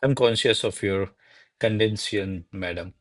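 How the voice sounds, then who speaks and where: A man playing a deferential, guarded secretary to a noblewoman in a classical radio play, with measured, formal verse delivery. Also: on the low side, close to an everyday voice. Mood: respectful.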